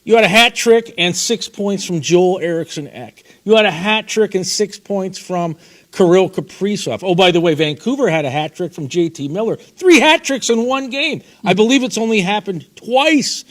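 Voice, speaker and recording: A man co-hosts a sports talk show in a folksy, opinionated way. His voice sits a little on the high side. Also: animated, moderate pace, fairly bright tone. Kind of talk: casual sports chat.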